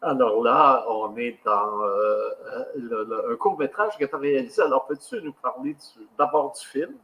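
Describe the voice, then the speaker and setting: A man's voice: mid-range voice, normal speed, sharp tone, low-quality recording. Hesitant male host. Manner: hesitant, many fillers. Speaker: podcast co-host. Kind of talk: casual podcast conversation.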